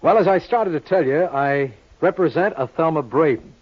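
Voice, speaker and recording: An actor playing a brash, cocky lawyer sleuth in a 1950s radio drama, glib and sardonic, needling everyone. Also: male voice, fairly high pitch, moderate pace, lightly acted. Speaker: lead actor of the series. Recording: muffled old radio recording, faint music.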